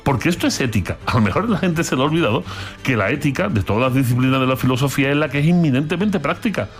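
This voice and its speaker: A man from Andalusia with an Andalusian accent, explaining philosophy in a lively talk with playful, theatrical asides. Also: medium pitch, fast, faint music behind. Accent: Andalusian Spanish. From Andalusia, Spain. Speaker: philosophy commentator.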